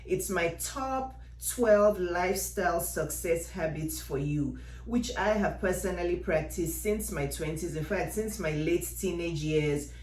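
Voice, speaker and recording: A woman's voice: on the low side, a little slow, few pauses, darkish tone. A woman in her fifties from Nigeria, giving direct, encouraging motivational advice to viewers in a vlog. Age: 50s. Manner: direct and encouraging, addresses viewers, repeats key points. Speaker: women's life coach. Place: home workout room. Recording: echoey room.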